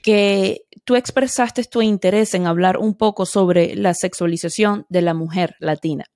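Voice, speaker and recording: A woman's voice: mid-range voice, fairly even intonation, a little slow, darkish tone, no echo. Woman host, dry sound. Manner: frequent agreeing "claro". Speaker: podcast host. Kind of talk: interview questions.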